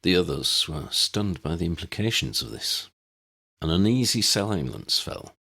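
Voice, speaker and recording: A man narrating a fiction audiobook in a scripted reading style with a husky voice. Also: low voice, lively intonation, unhurried, sharp tone.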